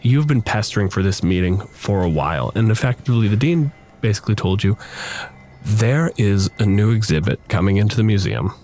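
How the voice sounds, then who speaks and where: Low-voiced, wry male game master. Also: expressive, fairly quick, a little mellow, faint music behind. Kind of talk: improvised tabletop game narration.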